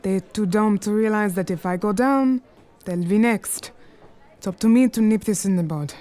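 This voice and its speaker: A woman's voice: medium pitch, normal speed, warm tone, lightly acted. Woman playing a haughty, commanding crime queen. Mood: scheming, defiant. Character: imperious, cunning Harlem gambling queen, proud and scornful. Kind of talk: period audio drama acting.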